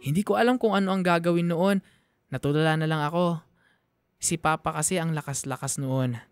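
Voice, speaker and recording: A man's voice: a little high, brisk, smooth, no echo, studio quality, faint music behind. A man giving dramatic, scripted first-person narration for a radio drama in a heartfelt, emotional manner.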